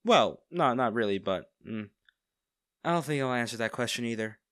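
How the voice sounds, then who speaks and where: A smug villain man, acted by a man in an intimate audio drama. He has a mid-pitched voice and lingers over coy, teasing pauses, hiding his fondness. The sound is dry and close. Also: lively intonation, a bit bright, dry recording, lightly acted. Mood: casual, coy. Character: smug, teasing villain man, secretly fond of captive hero. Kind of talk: intimate scripted audio roleplay.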